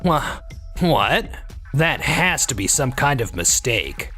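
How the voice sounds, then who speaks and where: A man voices a careless, ambitious office worker for a manga dub. He sounds self-serving and excuse-prone, with a highly expressive, mid-range voice. Music plays behind him. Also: slow, heavily acted. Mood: flustered, defensive. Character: scheming, careless male office employee, defensive and ambitious. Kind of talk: anime-style manga dub dialogue and inner monologue. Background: background music.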